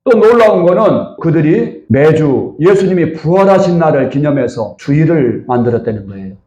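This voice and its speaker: Mellow-voiced preacher in a roomy church. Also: male voice, a little high, lively intonation, normal speed. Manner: preaching with rhetorical questions to the congregation and calls of amen. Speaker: senior pastor. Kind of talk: Sunday worship sermon. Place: church sanctuary.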